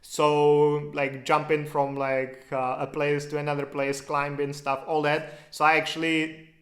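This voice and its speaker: A man's voice: fairly high pitch, monotone, unhurried. A man from the Czech Republic speaking English with a Czech accent, hosting a casual live question-and-answer stream in a loose, chatty way with lots of fillers. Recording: roomy echo.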